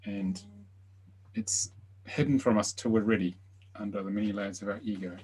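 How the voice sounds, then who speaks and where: A man hosting a live online healing webinar. He speaks softly and invites the attendees to share. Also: fairly low pitch, moderate pace, husky, poor audio. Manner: soft-spoken, invites sharing. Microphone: video call.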